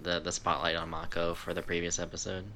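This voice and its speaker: A man's voice: low voice, completely flat delivery, quick pace, bright, forceful tone. A man co-hosting a podcast, chatting casually about anime. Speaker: podcast co-host.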